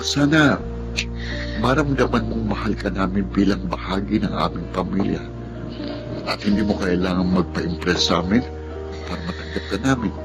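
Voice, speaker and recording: A man with a Filipino accent acting a gentle, earnest blind older brother in a radio drama, speaking tenderly and reassuringly. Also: sits low, moderate pace, long pauses, rough recording. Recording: dramatic music under the voice.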